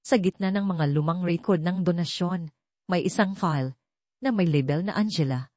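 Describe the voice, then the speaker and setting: A woman narrates scripted drama in a lowish voice. Her tone is dark and mellow, and the sound is dry, with almost no room around it. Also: normal speed, no echo. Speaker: audio story narrator.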